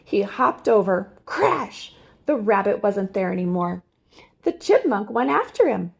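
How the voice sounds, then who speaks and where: A woman reading a children's storybook aloud in an animated, theatrical way with expressive emphasis. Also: mid-range voice, unhurried, gravelly, slightly rough. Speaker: storybook reader.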